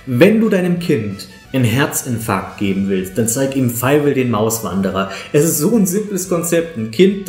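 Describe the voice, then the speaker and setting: A man's voice: a little high, darkish tone. A man gives casual, opinionated film reviews in a conversational way. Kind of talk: casual spoken review to camera. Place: small living room, on a sofa. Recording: roomy indoor echo, music behind.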